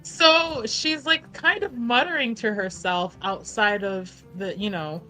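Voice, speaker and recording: A woman's voice: a little high, a little slow, sharp tone, soft background music, rough recording. A woman chatting casually as a tabletop game player, thinking aloud with a giggly, informal manner.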